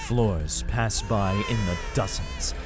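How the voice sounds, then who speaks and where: A man's voice: fairly low pitch, slow pace. A man playing a desperate father fleeing an alien invasion, narrating his escape in a first-person audio drama. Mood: tense narration. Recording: music bed under the voice.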